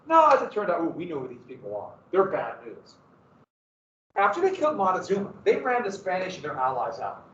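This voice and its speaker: A man's voice: high voice, wildly swooping pitch, brisk, fairly bright tone. A man with a Montana accent giving a casual, rambling classroom history lecture as a teacher. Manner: casual, rambling, self-deprecating. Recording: echoey classroom from far off, dull.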